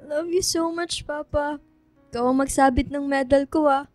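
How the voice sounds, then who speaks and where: An actress playing an affectionate, dutiful college-age daughter in a radio drama. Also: female voice, high voice, steady tone, moderate pace, no room sound, natural voice. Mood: tender. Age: young adult. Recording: music behind the voice.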